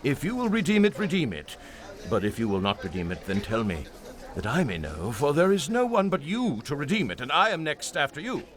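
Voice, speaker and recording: Casting: a man as a dignified landowner, solemn and declaring, very animated intonation. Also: on the high side, fairly quick, faint music behind. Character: wealthy kinsman Boaz, kind and dignified. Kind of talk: dramatized audio Bible reading.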